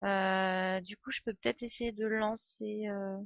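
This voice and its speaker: A woman's voice: medium pitch, steady tone, measured pace, creaky, no room sound, dull audio. A woman streamer giving casual live game commentary, thinking aloud in a hesitant way and correcting herself. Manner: hesitant, musing, self-correcting. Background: soft game music.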